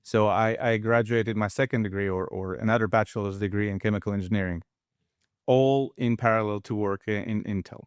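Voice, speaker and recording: Man from russia in his fifties, deep resonant voice, measured and halting, dry close sound. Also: steady tone, clear, resonant tone, dry recording. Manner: measured, halting with frequent restarts. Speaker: guest, engineering expert. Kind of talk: casual interview talk.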